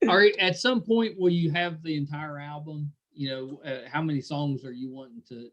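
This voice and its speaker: A man's voice: a little high, moderate pace, a bit bright, dull audio. A man hosting a podcast interview, chatting casually in an encouraging, easygoing way.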